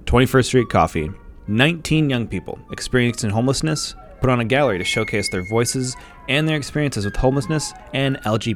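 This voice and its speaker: A man hosting a podcast, narrating a scripted segment in a measured way and spelling things out slowly. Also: mid-range voice. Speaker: podcast host.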